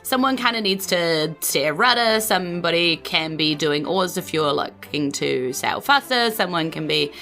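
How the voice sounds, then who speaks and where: Kiwi woman dm narrating a tabletop game, bright sharp lowish voice, casual and chatty. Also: expressive, normal speed, hardly any pauses, soft background music. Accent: New Zealand. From New Zealand. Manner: informal, chatty. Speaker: game master.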